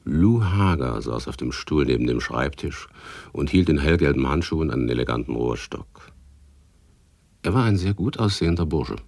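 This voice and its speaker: A low raspy noir private eye, dry and wry, tired of it all, radio play. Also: male voice, animated, normal speed, darkish tone, exaggerated voice. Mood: matter-of-fact, reflective. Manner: laconic, dry. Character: world-weary, wry male private detective. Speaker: narrator and lead character. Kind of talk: hard-boiled radio drama acting and narration.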